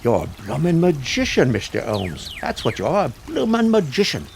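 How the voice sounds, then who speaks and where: Man acting a lively, folksy police sergeant. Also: a little high, moderate pace, creaky, slight background noise, full character voice. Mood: awed. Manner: folksy, respectful. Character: plain-spoken, deferential male police sergeant. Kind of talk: audio theatre acting.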